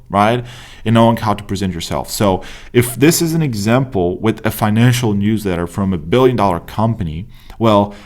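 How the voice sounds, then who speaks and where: A young man with a Romanian accent, teaching on a screen share and stressing his points with frequent "right?" and "like". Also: lowish voice. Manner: emphatic, repeats "right?" and "like", quick asides. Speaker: business coach. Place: indoor room at a desk.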